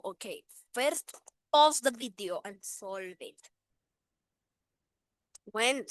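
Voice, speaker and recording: A woman's voice: on the high side, no echo. A woman reading multiple-choice quiz questions aloud while putting on a playful, exaggerated Indian accent. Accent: put-on Indian.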